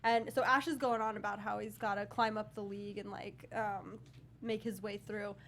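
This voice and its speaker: A woman's voice: mid-range voice, fairly even intonation, moderate pace, gravelly, fairly bright tone, a little hiss or hum. A woman co-hosting a casual panel discussion about a film. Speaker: talk show co-host.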